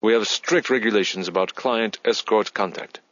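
A man voicing a smooth, courteous but wary Spanish male boss of an escort agency, with formal salesman's phrasing. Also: lowish voice, moderate pace, fairly bright tone, no room sound, lo-fi sound, natural voice. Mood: cool, formal.

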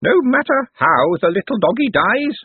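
Elderly aunt for an audiobook, male performer: small, high voice, prim, fussy, slyly sinister. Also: very animated, normal speed, slightly rough, no echo, phone-quality sound, heavily acted. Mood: quietly menacing. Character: tiny elderly aunt, prim, quietly menacing.

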